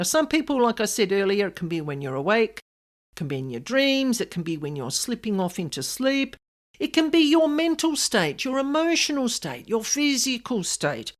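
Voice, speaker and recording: A woman giving a conversational podcast monologue, speaking emphatically and directly to the listener as host. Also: fairly high pitch. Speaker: podcast host and paranormal specialist.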